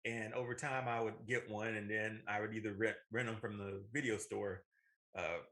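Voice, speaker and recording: Bright-voiced man chatting about films. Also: lowish voice, steady tone, moderate pace, poor audio. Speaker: podcast co-host. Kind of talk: casual opinionated chat about films.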